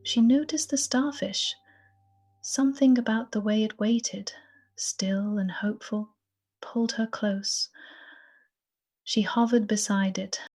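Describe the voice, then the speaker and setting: A woman narrating a bedtime story in a gentle, soothing, partly whispered voice. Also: medium pitch, steady tone, takes it slowly, long pauses.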